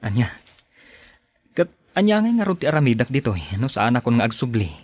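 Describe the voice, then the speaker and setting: A man's voice: medium pitch, very animated, fairly quick, a little mellow. A man acting a mild, yielding husband home from abroad in a podcast drama. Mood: resigned.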